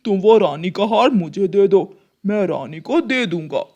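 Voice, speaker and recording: A woman's voice: mid-range voice, expressive, measured pace, mellow. A woman voicing a sly, greedy, bossy male crow in a cartoon story. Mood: sly persuasion.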